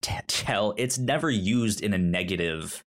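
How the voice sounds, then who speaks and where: A man co-hosting a casual podcast, talking haltingly and repeating words as he thinks out loud. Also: lowish voice, animated. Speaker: podcast host. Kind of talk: casual chat about a manga.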